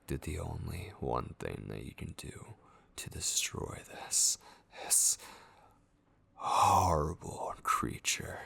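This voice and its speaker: Obsessive yandere kidnapper played by a man for horror ASMR: very deep, slow and brooding, menacing yet tender, often near a whisper. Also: fairly bright tone, exaggerated voice. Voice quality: breathy, gravelly, often dropping into whisper. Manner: slow, intense, brooding delivery with drawn-out pauses. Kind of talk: ASMR roleplay horror acting.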